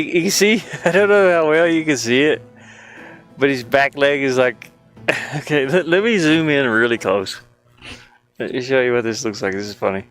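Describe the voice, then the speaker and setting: A man's voice: medium pitch, fairly bright tone. A man casually thinking aloud in an amused, rambling way while commentating on his own game-building screen share. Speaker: indie game developer.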